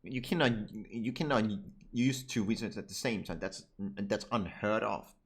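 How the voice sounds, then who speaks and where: A man's voice: on the low side, a little slow, breathy edge. A man giving casual, rambling game playthrough commentary, with plenty of swearing and irreverence. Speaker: streamer, content creator.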